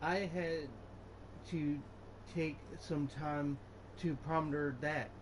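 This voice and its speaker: A man voicing player replies over gameplay, with a halting delivery. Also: on the high side, steady tone, background noise. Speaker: gameplay video creator. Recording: game music behind.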